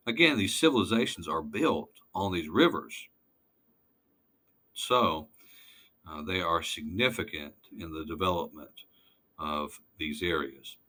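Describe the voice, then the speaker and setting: A man lecturing informally over slides, halting and deliberate, putting weight on key words. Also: low voice, sharp tone.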